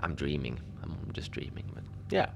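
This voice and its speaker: A man playing a lonely, gentle Antarctic facility manager, rambling hesitantly and apologetically in a cozy fiction podcast monologue. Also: a bass voice, wildly swooping pitch, brisk, vocal fry, whispered, heavily acted. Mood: wistful, friendly. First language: Norwegian. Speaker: podcast narrator.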